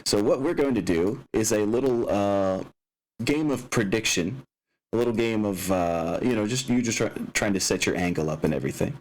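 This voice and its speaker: A man talking casually and haltingly as game master, explaining the setup of a tabletop game session. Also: on the low side, animated, rough recording. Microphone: open microphone picking up room sounds.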